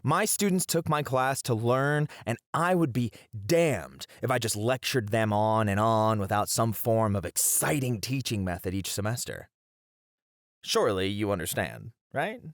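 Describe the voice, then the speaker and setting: Man playing a lively, flirty, theatrical male teacher. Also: lowish voice, a little slow, no room sound, studio quality. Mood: passionate. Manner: expressive, playful, dramatic phrasing. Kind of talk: ASMR roleplay acting.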